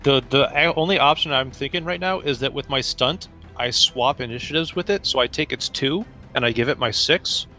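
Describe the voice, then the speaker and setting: A man's voice: mid-range voice, a little fast, few pauses, bright, forceful tone, soft background music. A man playing a tabletop game, chatting casually and thinking tactics through aloud.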